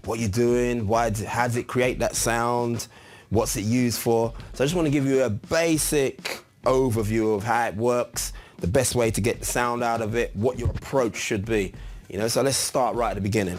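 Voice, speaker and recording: A man giving an informal, chatty tutorial as a musician, explaining a piece of gear step by step. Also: medium pitch. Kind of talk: casual instructional demonstration.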